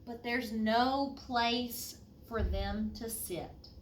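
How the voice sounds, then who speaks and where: A woman voicing a worried, exasperated married hostess from a storybook, protesting with drawn-out emphasis. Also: fairly high pitch. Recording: roomy, distant mic, faint noise.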